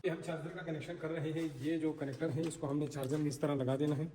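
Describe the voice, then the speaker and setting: A man's voice: on the high side, flat delivery, quick pace, mellow. A man giving an informal hands-on tutorial as he works, explaining step by step and repeating himself. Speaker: installer presenting a tutorial. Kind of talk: hands-on tutorial narration while working. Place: on an installation site. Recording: clear background noise on location.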